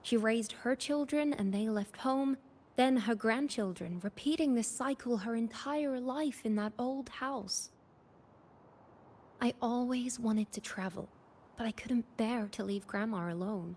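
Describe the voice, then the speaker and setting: A woman's voice: on the high side, moderate pace, a little mellow. A woman playing an earnest, wistful young woman who is grieving her grandmother in a video game. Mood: grateful, reflective.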